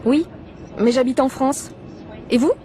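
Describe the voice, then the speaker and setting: A woman's voice: sits high, unhurried, crowd noise. A woman acting as a friendly mother making small talk in a scripted language-lesson dialogue. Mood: conversational. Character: friendly Portuguese mother living in France.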